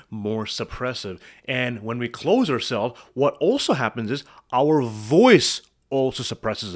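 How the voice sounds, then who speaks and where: A man working as a speaking coach, commenting on a client's video and quizzing the viewer with rhetorical questions. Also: medium pitch.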